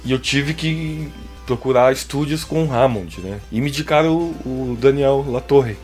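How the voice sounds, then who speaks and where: A Brazilian man chats as an interview guest. His voice sits at an ordinary pitch, and he talks in loose, rambling stretches padded with little filler tags. Faint music plays underneath. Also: normal speed, a little mellow. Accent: Brazilian Portuguese. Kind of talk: casual interview chat.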